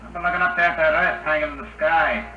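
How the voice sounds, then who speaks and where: Brash wisecracking crewman for vintage space radio play; high-pitched, slangy, echoey noisy broadcast. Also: fast, sharp tone. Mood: cocky, resolute. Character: brash wisecracking young spaceship crewman, cocky and self-sacrificing. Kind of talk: 1950s radio drama acting.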